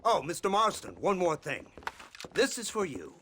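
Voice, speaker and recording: A man's voice: high-pitched, sing-song, sharp tone, a little hiss or hum, exaggerated voice. An actor playing a smug, menacing government lawman with an American accent in a game cutscene, talking with condescending, mock-polite wryness. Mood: dryly sardonic. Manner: condescending, mockingly polite, drawn-out wry phrasing. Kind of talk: dramatic video game cutscene acting.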